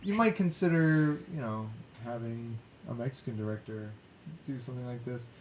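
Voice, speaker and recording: A man's voice: moderate pace, a little mellow. A man hosting a casual film discussion podcast, thinking aloud in a meandering, hesitant way. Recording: clear background noise with low rumble.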